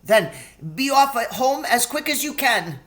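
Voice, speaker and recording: A man's voice: extremely high pitch, expressive, unhurried, a bit bright, voice pushed up, exaggerated voice. A man voicing a timid, kind male faun in a storybook, weepy and guilt-ridden, pleading in an anguished stammer. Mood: apologetic, tender.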